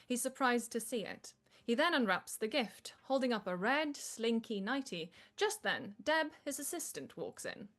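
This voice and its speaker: A woman's voice: fairly high pitch, sing-song, normal speed, a bit bright. A woman narrator reading screenplay stage directions aloud.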